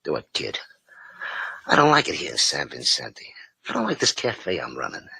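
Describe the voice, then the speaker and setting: A man's voice: extremely low pitch, wildly swooping pitch, moderate pace, creaky, bright, forceful tone, slightly rough, dry recording, heavily acted. An actor playing a menacing, sardonic cafe keeper, a shady tough guy in hardboiled old radio drama style.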